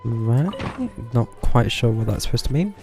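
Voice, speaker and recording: A man's voice: medium pitch, animated, moderate pace. A man live-streaming a game, giving casual commentary in a dry, offhand way. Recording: game music underneath.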